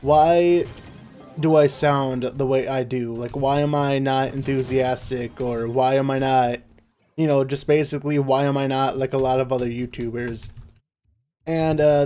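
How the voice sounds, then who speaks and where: A young man talking casually in a laid-back monotone over his gameplay, with lots of fillers. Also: medium pitch, unhurried, faint music behind. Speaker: gaming YouTuber.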